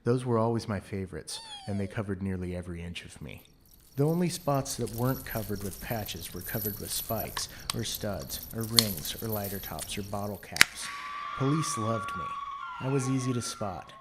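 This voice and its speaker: A man's voice: lowish voice, expressive, normal speed, very noisy. A man giving dramatic, brooding first-person scripted narration as the narrator of an audio play.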